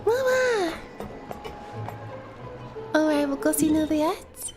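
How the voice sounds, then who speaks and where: A woman's voice: sits high, animated, slow, music in the background. A woman streaming a game, talking casually to her viewers while stuffy from a cold. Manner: chatty, talks to viewers between game lines. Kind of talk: casual live-stream chat.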